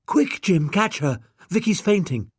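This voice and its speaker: A man's voice: on the high side, wildly swooping pitch, measured pace, dry recording, clean studio sound, voice pushed up, full character voice. A man reading aloud voices an anxious young woman, a lord's daughter, speaking urgently and pleadingly.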